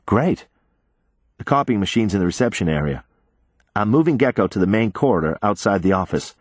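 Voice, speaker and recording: Male narrator as a calm man, brisk, matter-of-fact, lowish voice, dry close sound. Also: no room sound. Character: calm grown man guiding over radio.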